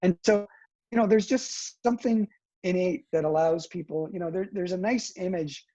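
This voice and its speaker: A man's voice: sits high, moderate pace, dark tone, dull audio. A man whose first language is Italian, a guest answering interview questions in a thoughtful, hedging way, tagging his points with "right?". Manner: thoughtful, hedging, frequent "you know" and "right?". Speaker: guest, education professor.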